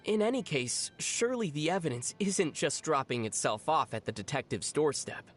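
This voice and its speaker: An actor voicing a calm, teasing young adventurer in a video game, with a dry, knowing manner. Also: mid-range voice, moderate pace, soft background music, natural voice. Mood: wry. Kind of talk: video game character voice acting.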